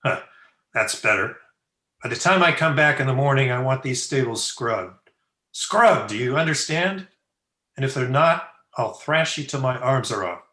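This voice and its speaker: A man's voice: medium pitch, lively intonation, moderate pace, pushed and bright. A man playing a cruel, jealous young master in an old-time radio drama, sneering and commanding. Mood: bullying command. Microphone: remote video call. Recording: echoey home video-call recording.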